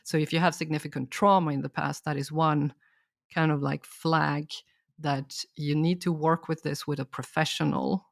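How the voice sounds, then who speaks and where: Woman with a gravelly voice. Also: sits low, moderate pace, no echo, studio quality. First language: Swedish. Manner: thoughtful, explanatory, steady. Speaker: guest expert. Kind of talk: reflective podcast conversation.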